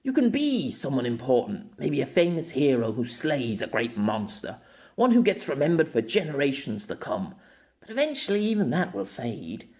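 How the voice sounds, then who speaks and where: A man voicing a wistful, self-deprecating former sword master in an audiobook, reminiscing in a halting way. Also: mid-range voice.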